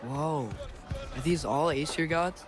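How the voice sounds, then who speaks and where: High-voiced defiant teenage boy. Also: takes it slowly. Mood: curious, earnest. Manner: earnest, indignant. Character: defiant, eager teenage half-giant boy adventurer. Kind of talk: video game dramatic acting. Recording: music behind the voice.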